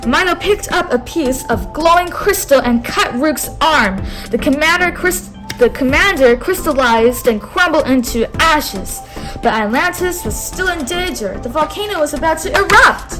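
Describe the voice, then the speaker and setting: A woman reads a picture book aloud with a high voice. She has a teacherly manner and stops now and then to have the listener repeat key words. Soft music plays faintly behind her. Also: expressive, normal speed. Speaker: read-aloud host.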